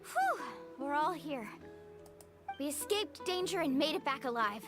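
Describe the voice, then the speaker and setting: A young female guide with a high, animated voice. She is confident, brisk and encouraging, in polished anime-game style. Music plays clearly behind her. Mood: upbeat. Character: confident, bright young woman island guide, calm under danger.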